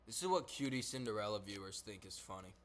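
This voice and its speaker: A man streaming, making sarcastic, crude reaction commentary in a low mutter. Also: expressive, fast talker, crowd noise.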